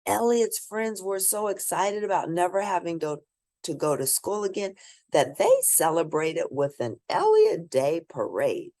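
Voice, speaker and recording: Woman reading a storybook, wildly animated pitch. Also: dry recording, studio quality. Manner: theatrical, drawn-out emphasis for young listeners. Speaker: storybook reader. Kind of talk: expressive read-aloud of a children's picture book.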